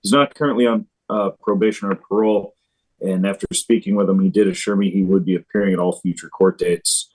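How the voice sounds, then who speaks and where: Male lawyer, quick formal talk, kinda low voice. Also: steady tone, darkish tone, rough recording. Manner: brisk, formal courtroom phrasing. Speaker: defense attorney.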